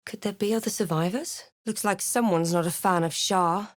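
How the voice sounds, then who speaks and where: A woman playing a brooding adventurer who narrates her own dark fantasy journey in a measured, literary storytelling style for a game. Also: medium pitch, no echo, close to an everyday voice. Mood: somber. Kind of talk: scripted first-person game narration.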